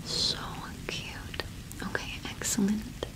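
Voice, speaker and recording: A young woman playing a friendly, gentle cottage witch shopkeeper in a whispered ASMR roleplay, unhurried and attentive, close to the microphone. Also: natural voice, rough recording. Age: young adult.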